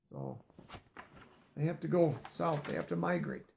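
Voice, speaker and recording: A man's voice: on the high side, huge pitch swings, a little slow, vocal fry, darkish tone, slight background noise. A man reading a children's picture book aloud, stopping to explain words in a teacherly way. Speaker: storybook reader.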